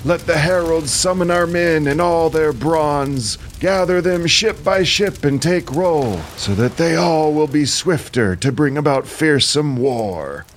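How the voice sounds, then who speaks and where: A man's voice: a little high, measured pace, few pauses, a little hiss or hum, natural voice. A man playing a formal, commanding Greek war counsellor in an audio drama, urging his king on. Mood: urgent, commanding.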